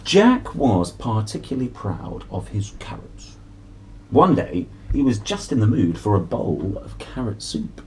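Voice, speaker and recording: Animated male storyteller, swooping pitch. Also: a little hiss or hum, rough recording. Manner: playful, exclamatory, drawn-out storytelling for children. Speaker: host reading picture books aloud. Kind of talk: animated storybook read-aloud with chatty asides.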